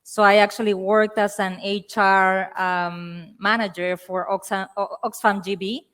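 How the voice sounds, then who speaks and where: A woman's voice: medium pitch, level tone, measured pace, a bit bright. Woman, Mexican accent, conference panelist, chatty, self-deprecating, stage mic. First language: Spanish. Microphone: handheld mic.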